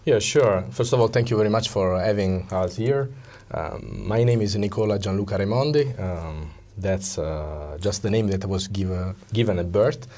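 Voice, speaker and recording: An Italian man with an Italian accent, explaining things as an interview guest in a conversational but halting way, often pausing to search for words. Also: sits low, darkish tone. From Italy. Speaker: interview guest, psychology coach.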